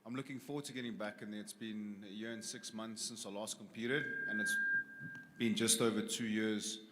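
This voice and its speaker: A man's voice: on the low side, steady tone, moderate pace, heavy background noise. A confident man in his forties from South Africa, answering questions as a fighter at a press conference. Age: 40s. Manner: confident, plain-spoken. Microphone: handheld microphone. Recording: long hall reverb.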